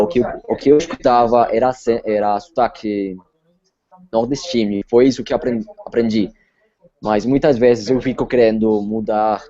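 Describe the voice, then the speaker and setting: A man's voice: medium pitch, dark tone. A Colombian man with a Spanish accent, talking in an interview and often repeating words as he searches for his phrasing. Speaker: interview guest. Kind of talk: casual interview chat. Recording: slightly dull lo-fi sound.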